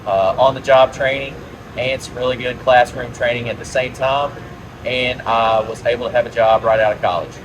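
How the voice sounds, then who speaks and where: A young man from Kentucky speaks at a mid pitch, relaxed, with a few hesitant fillers. The mic is at a distance, and clear factory noise surrounds him. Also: normal speed. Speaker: team leader. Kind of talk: scripted testimonial. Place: manufacturing plant.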